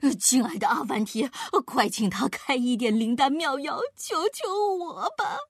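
A woman voicing an anxious, gullible big fat man in a children's story, with a husky, hesitant, pleading manner. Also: high-pitched, expressive, measured pace, dry recording, studio quality, exaggerated voice.

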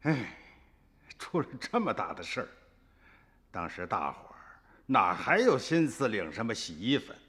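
A man's voice: fairly low pitch, measured pace, pauses often, big-room sound. An actor playing a rural man who recounts village events in a conversational way, in a radio drama. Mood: reminiscing. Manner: animated, conversational storytelling. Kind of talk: radio drama narration in dialogue.

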